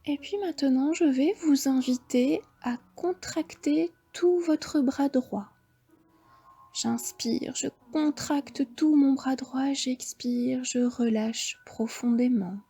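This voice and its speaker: A woman with a French accent leads a yoga nidra session, speaking very slowly and softly. Her voice is fairly high, mellow and breathy, often dropping into half-whispered breathing cues. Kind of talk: guided relaxation instruction.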